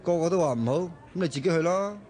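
A man's voice: sits high, fast. An actor playing an exasperated, bickering but easygoing young man in a radio drama, with a Hong Kong Cantonese accent. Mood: reluctant. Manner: bickering, animated. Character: exasperated, grumbling, easygoing young male buddy.

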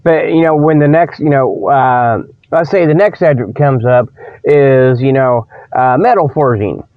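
A man hosting a comedy show with a folksy, rambling monologue of tongue-in-cheek sales pitches, peppered with verbal tags. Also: measured pace, dry recording. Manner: folksy, repeats "you know" and "okay" as tags; chuckles at own jokes. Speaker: host of a comedy radio show. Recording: dull, dry radio recording.

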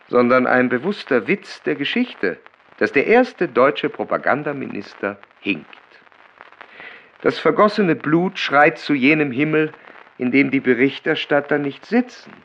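An actor playing a melancholic, bitterly ironic writer who recites his works in a theatrical, deliberate way in a radio play. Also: mid-range voice, muffled recording. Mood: bitter, scathing. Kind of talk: literary radio play reading.

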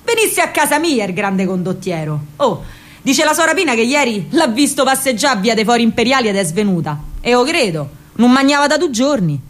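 A woman's voice: a little high, animated, brisk, lightly acted. An actress playing a spirited, rebellious young working-class Roman woman, telling her story colloquially in a theatrical radio monologue with a Roman accent. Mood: defiant. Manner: colloquial, vivid, ironic storytelling.

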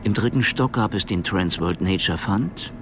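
A hard-boiled crime narrator with a very deep voice. He tells the story drily and deliberately, detached and sardonic, over faint background ambience. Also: noisy background. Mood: observing, dry. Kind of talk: scripted radio play narration.

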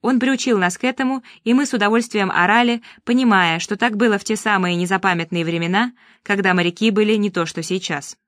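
A woman reading a first-person story aloud in a calm, measured, gently ironic way. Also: medium pitch, no room sound, studio quality. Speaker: audiobook reader.

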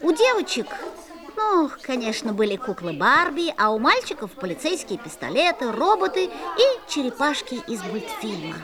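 A woman's voice: high-pitched, very animated, moderate pace, a crowd in the background. A woman playing an earnest young schoolboy. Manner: earnest storytelling. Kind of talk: musical fairy-tale audio play narration.

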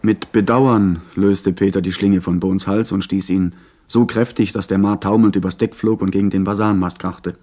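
An actor playing an earnest young gentleman who narrates a radio play in an articulate, literary way. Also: sits low, steady tone, normal speed, lightly acted. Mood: narrating.

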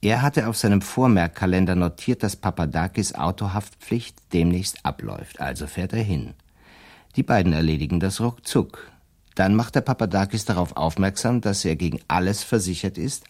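An actor playing a shrewd, self-assured defence lawyer in a radio play, explaining his schemes deliberately and slyly. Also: low voice, lively intonation, darkish tone. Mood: confident, sly. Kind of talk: German radio drama dialogue.

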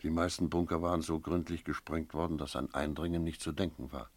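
A sober investigator narrates a radio drama. The voice is extremely deep, with grave, deliberate phrasing and a wary, restrained manner. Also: male voice, little pitch movement, no echo. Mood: sober, tense. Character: sober, wary male investigator recounting a night search. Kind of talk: first-person radio play narration with dialogue.

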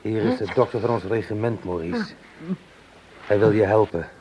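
An actor playing a loyal, protective soldier, a corporal, with an earnest and sombre manner in a radio drama. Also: male voice, on the low side, normal speed, warm tone, close to an everyday voice. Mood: soothing. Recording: noisy old radio drama recording.

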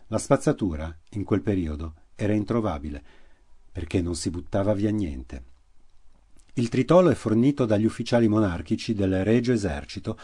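Low mellow-voiced man narrating a drama. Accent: Italian. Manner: measured, slows and drops for emphasis. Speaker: theatre narrator on radio. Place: radio studio.

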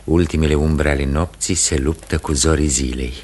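An actor as a solemn narrator, reading scripted scene-setting for a radio play in a measured, literary way. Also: male voice, rumbling low, steady tone, a little mellow, husky.

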